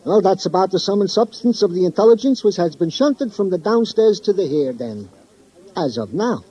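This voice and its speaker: An actor playing an earnest, pompously wordy police sergeant with florid, over-formal phrasing in a 1950s radio drama. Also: male voice, high voice, expressive, moderate pace, dark tone, slightly rough. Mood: officious. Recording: dull old radio recording.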